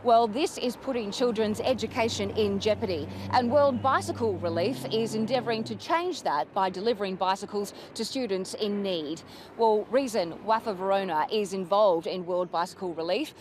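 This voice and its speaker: Pembawa acara berita perempuan, bertanya dengan rapi dan jelas, nada sedang. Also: female voice, mid-range voice, moderate pace, noisy background. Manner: polished, inquisitive, clear questions. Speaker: news interviewer. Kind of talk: broadcast interview hosting.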